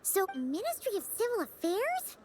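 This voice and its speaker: A woman voicing a tiny, childlike, easily alarmed fairy companion in an anime-style video game, with squeaky, exclamatory speech. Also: wildly swooping pitch, normal speed, very noisy, full character voice. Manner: exclamatory, anxious questioning. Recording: game dialogue over music.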